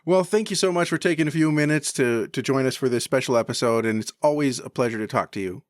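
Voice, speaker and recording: A man's voice: mid-range voice, quick pace, no echo, studio quality. A man hosting a podcast, chatting casually as he interviews guests.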